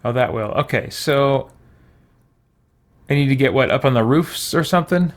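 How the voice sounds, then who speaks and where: A man's voice: medium pitch, normal speed. A man giving casual game commentary, thinking aloud with puzzled muttering and mild exclamations. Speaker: gamer hosting a roleplay let's play.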